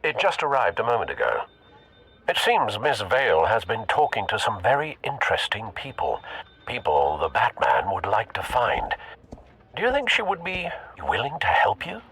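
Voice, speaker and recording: A man's voice: fairly low pitch, huge pitch swings, moderate pace, fairly bright tone, slightly rough, heavily acted. An actor playing a loyal, proper older male butler who speaks politely and formally. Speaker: game character.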